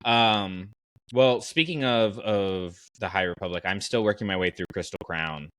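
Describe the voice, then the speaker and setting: A man's voice: sits low, moderate pace, a bit bright, clear, resonant tone, dry recording. A man hosting a podcast in casual conversation, thinking aloud and giving his opinions as he goes. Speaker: podcast host. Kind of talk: casual podcast chat about fiction.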